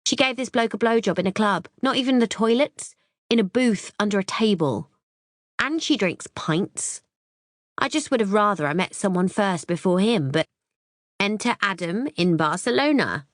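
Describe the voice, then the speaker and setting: A woman's voice: mid-range voice, animated, normal speed, dry recording, clean studio sound, voice pushed up, lightly acted. A woman voicing a blunt, crude, sarcastic young woman, the narrator's sister, in an audiobook. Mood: blunt, mocking.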